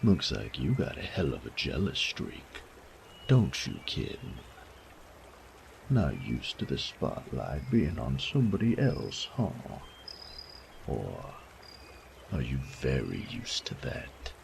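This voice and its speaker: A man playing a sadistic, teasing bandit in an audio roleplay, with a gravelly voice and a taunting, coarse drawl. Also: huge pitch swings, slow pace, pauses often, a little hiss or hum, exaggerated voice. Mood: teasing, seductive. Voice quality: gravelly, creaky low voice. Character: sadistic bandit rogue, menacing, teasing, mocking, flirtatious. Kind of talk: roleplay audio drama acting.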